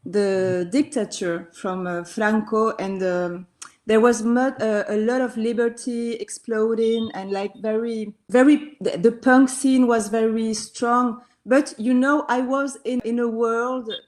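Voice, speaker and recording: Middle-aged woman, her voice sitting a little high. She talks hesitantly as an interview guest, restarting her sentences while she hunts for the right English words. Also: steady tone, takes it slowly, a little mellow. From Belgium. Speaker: interview guest, actress and singer. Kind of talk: casual interview chat.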